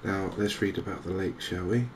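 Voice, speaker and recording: A man with an English accent giving soft, gentle, unhurried cozy ASMR commentary on a video game as the channel host. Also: low voice, noisy background. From England.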